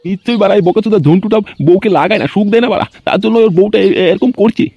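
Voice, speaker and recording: Guy doing a boozy sly cartoon village man, excitable, high voice, talks fast. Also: dry recording, highs cut off. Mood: scheming, eager. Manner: crude, swearing, excitable. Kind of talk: amateur comedy cartoon dubbing.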